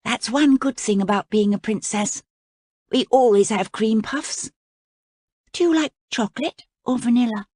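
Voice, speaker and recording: A woman voicing an earnest young princess in a high, plaintive girlish voice. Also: measured pace, a little mellow, slightly rough, dry recording, clean studio sound.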